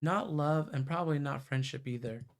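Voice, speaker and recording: A man's voice: on the high side, normal speed. A man reading visual novel narration aloud as a streamer.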